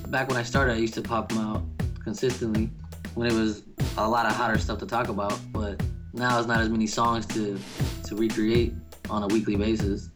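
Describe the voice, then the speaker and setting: A man's voice: on the low side, flat delivery, a little fast. Young guy from new orleans, easygoing, talks over music playing. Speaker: guest. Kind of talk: casual interview chat.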